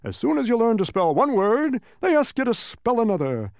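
A man voicing a blustering, boastful old humbug beetle in a storybook, with a booming voice and pompous manner. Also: very animated, fairly quick, vocal fry, a little mellow, no echo, studio quality, heavily acted. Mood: scornful. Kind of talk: animated storybook character reading.

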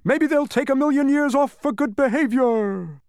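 A man voicing a pompous, flustered bug gentleman in a storybook, nervous, stammering and eager to please. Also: high-pitched, normal speed, dry recording, clean studio sound, pitched above the performer's own voice, natural voice. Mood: encouraging.

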